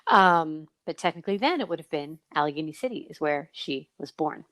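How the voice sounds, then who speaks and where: A woman's voice: low voice, animated, fairly quick, background noise. A woman hosting a podcast in a casual, chatty and playful way, with exclamations as she runs quizzes and interviews. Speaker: podcast host.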